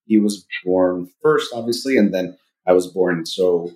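A man's voice: low-pitched, unhurried, a little mellow. A man in his forties from Southern California, a psychologist and podcast guest who first spoke Farsi, talking thoughtfully with many fillers. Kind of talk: casual podcast interview.

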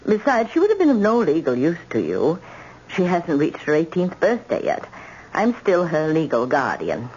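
A woman's voice: sits low, huge pitch swings, moderate pace, darkish tone. Imperious, embittered old woman. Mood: haughty. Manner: haughty, formal, cutting. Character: embittered, imperious old grandmother, cold and vengeful. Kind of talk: old-time radio drama acting. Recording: dull, noisy old radio broadcast.

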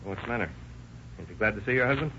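An actor playing a gruff, menacing husband in a 1930s radio drama. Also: male voice, fairly low pitch, expressive, very fast, lightly acted. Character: menacing bullying husband, middle-aged man. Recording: dull lo-fi old radio recording with faint hiss.

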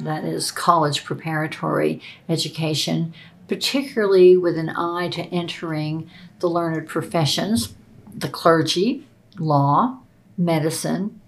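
A middle-aged woman scholar giving unscripted interview commentary with deliberate, careful, academic phrasing. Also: fairly low pitch, vocal fry, low-quality recording. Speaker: expert interviewee, classics scholar.

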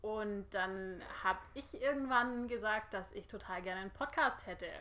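A woman's voice: medium pitch, normal speed, pushed and bright. A woman in her late twenties from the Lake Constance area, co-hosting a casual podcast in a chatty, giggly, self-mocking way. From Germany, Lake Constance area. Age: 20s. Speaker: podcast co-host. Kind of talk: casual chat.

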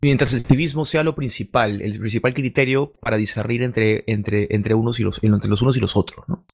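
Gravelly-voiced man explaining his views. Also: fairly low pitch, fairly even intonation, a little fast, a little mellow. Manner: explanatory, often checks with a questioning tag. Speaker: podcast guest. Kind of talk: casual chat.

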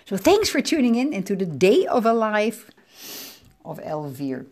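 A woman's voice: mid-range voice, very animated, a little slow, darkish tone. A middle-aged woman from the Netherlands with a Dutch accent, hosting her own podcast in a chatty, rambling way, sore from aching ribs. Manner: chatty, rambling, self-correcting. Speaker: podcast host. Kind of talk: casual solo podcast monologue.

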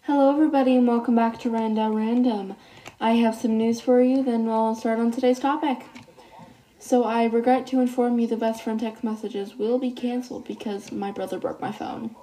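A woman's voice: fairly high pitch, steady tone, normal speed, dark tone, rough recording. A teenage girl hosting a casual solo podcast, reading texts aloud in a chatty way while losing her voice. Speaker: podcast host.

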